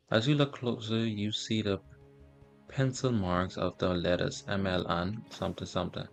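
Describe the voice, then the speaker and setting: Guy doing a game walkthrough, deep crackly voice, stumbles reading stuff out, faint music behind. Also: creaky. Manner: halting, stumbles while reading aloud. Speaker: gaming streamer. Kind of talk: casual game walkthrough, reading on-screen text aloud.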